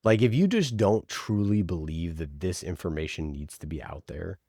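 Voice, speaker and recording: A man with a low, deep voice talks as a guest in a casual interview. He is emphatic and informal, often slipping into little quoted asides. The sound is close and dry. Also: expressive, normal speed, warm tone, breathy edge, dry recording. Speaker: podcast producer, interview guest. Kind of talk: casual conversational interview answers.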